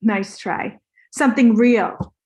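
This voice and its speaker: A woman's voice: fairly high pitch, takes it slowly, darkish tone. A woman reading the part of an easygoing, naive male actor with a fading career, earnest and casual, in a comedy table read. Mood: defensive, hopeful.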